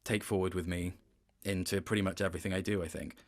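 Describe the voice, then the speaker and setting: A man's voice: low-pitched, flat delivery. Reflective male voice actor in casual interview. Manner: reflective, self-correcting, halting phrasing. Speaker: interview guest, video game voice actor.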